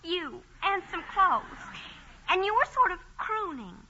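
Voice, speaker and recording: Eager, very high-pitched little sister. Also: female voice, big pitch swings, unhurried, bright, forceful tone, a little hiss or hum, exaggerated voice. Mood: gossipy, insistent. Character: excitable, tattling young girl, the bride's kid sister. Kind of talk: 1940s radio acting. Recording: dull vintage radio recording.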